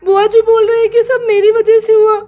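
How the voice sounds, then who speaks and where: A woman's voice: very high voice, level tone, moderate pace, warm tone. A woman playing a tearful, pleading young wife. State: crying.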